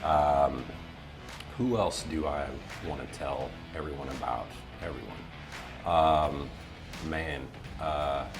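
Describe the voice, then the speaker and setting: A man chatting casually as an interview guest, in a laid-back, joking way. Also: extremely low pitch. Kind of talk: casual chat.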